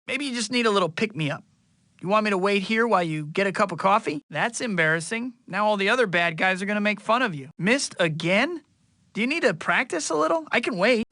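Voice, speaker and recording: A young American male superhero for a video game. His voice sits high and forward with a crisp, bright edge, recorded very dry. He tosses out cocky, friendly wisecracks in a boyish, conversational way. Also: dry recording, full character voice. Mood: teasing, cocky. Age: young adult. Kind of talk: video game voice lines, short quips.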